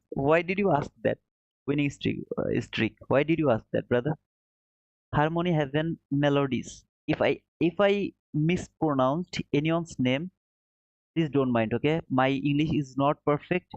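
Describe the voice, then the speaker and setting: A man's voice: fairly high pitch, a little slow, no room sound. A man from Bangladesh, not an English speaker, hosting a trading livestream in an earnest, repetitive way and calling his viewers brother. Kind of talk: casual live-stream commentary. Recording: dull muffled dry sound.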